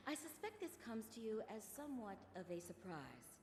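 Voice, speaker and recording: A composed, formal game character. Her voice sits fairly high with animated inflection and rings in an echoing hall. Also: brisk. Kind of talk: video game cutscene voice acting. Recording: echoey hall reverb, faint music.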